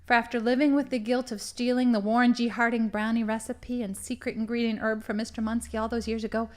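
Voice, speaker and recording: A woman's voice: fairly high pitch, little pitch movement, fast, close to an everyday voice. A woman acting as a boastful, theatrical villain, a cheerfully murderous crime boss in a comedy audio drama. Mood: gleeful. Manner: gleeful, boastful, dramatic monologuing.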